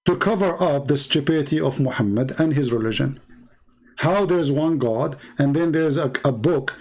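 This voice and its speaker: A man's voice: on the high side, moderate pace, darkish tone. Arabic-accented male commentator, non-native English, raised voice, combative and mocking. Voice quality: broken, non-native grammar. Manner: argumentative, sarcastic rhetorical questions. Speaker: religious debate commentator. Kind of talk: polemical commentary.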